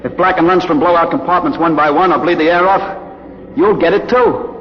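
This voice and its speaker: A man's voice: a little high, fairly quick, lightly acted. An actor playing a determined, commanding young spaceman leading a mutiny in a 1950s radio drama. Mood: threatening. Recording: noisy muffled 1950s radio recording.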